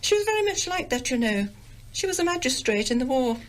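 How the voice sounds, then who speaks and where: Woman playing a genteel lady, high and lively. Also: a little fast, poor audio. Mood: gently reproving. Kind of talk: radio drama acting.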